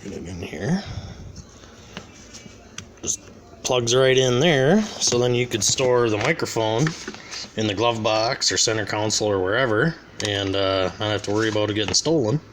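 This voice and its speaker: A man's voice: on the low side, normal speed, fairly bright tone. A man giving a casual, unscripted hands-on demonstration in a plain-spoken, rambling way, recorded on a handheld camera at a desk indoors. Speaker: hobbyist demonstrating a radio. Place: indoors at a home radio desk. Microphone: handheld camera mic, near the desk. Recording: clear background noise, handheld camera.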